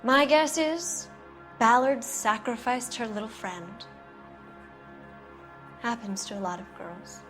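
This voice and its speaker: An actress playing a cynical, guarded producer's wife in a 1940s noir video game, answering in a cool, bitter, evasive way. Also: female voice, on the high side, slow pace, pauses often, music in the background.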